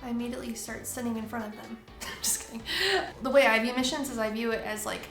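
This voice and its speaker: A woman's voice: a little high, fast, vocal fry, roomy sound, faint music behind. A young woman missionary chatting casually in a Q and A, with lots of "like" fillers and easy laughs. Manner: relaxed, many "like" fillers, laughs easily. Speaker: missionary in Taiwan. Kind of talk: casual Q and A chat.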